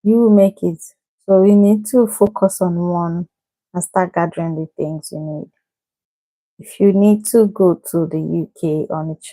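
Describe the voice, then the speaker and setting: Brief: woman vlogger from Cameroon, warm-toned and slow, chatty and upbeat with viewers, laughs a lot. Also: lowish voice, no room sound, poor audio. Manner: chatty and encouraging, greets viewers by name, laughs often. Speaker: livestream host giving visa advice. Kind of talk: casual livestream chat.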